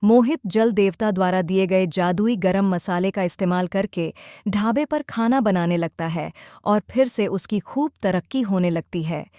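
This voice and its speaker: A woman reads scripted narration for a moral tale. Her tone is soft-edged and mellow, and the recording is very dry, without room sound. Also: mid-range voice, measured pace, few pauses, no room sound, soft background music.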